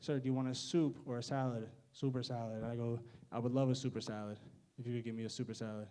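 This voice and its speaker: A man's voice: medium pitch, level tone, fast, warm tone. A young man doing a stand-up set, awkward and self-mocking, laughing at his own jokes. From United States. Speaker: stand-up comedian.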